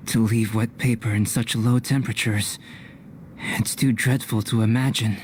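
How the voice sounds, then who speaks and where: A man voicing a calm, scholarly, slightly aloof young alchemist in an anime fantasy game, with formal, deliberate phrasing. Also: on the low side, a little hiss or hum, close to an everyday voice. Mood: calm, analytical. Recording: game audio with clear background ambience.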